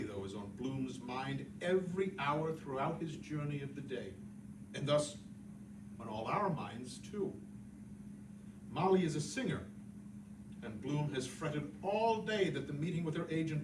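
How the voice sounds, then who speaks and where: A man playing a genial, self-satisfied Irish novelist who tells his story to an audience with chatty, wry asides. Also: fairly high pitch. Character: James Joyce, a genial, boastful, digressing Irish novelist. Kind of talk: theatrical storytelling monologue to an audience. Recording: echoey, distant, noisy room recording.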